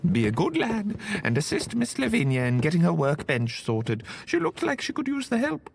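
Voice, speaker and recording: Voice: mid-range voice, huge pitch swings, moderate pace, vocal fry, slight background noise. An actor playing a stern, theatrical academy professor in a fantasy audio drama, drawling pompously with wry condescension. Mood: brisk, dismissive.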